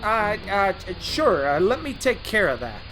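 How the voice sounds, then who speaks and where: Man as a high-pitched, nervous little train engine. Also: sing-song, unhurried, pushed and bright, heavily acted, rough recording. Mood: hesitant. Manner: hesitant, stammering. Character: nervous, timid, polite young male engine. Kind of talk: audio drama character acting. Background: music, night insects.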